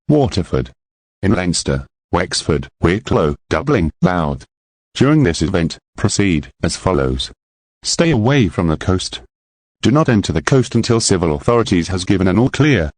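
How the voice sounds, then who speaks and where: A man's voice: sits low, no echo. A man's stiff, synthetic-sounding voice reading a formal scripted emergency broadcast announcement.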